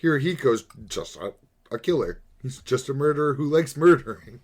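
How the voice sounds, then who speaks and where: A man's voice: mid-range voice, lively intonation, moderate pace. A man chatting casually as a podcast co-host, with a wry, sarcastic, profane way of talking. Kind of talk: casual banter about manga. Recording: noticeable background noise.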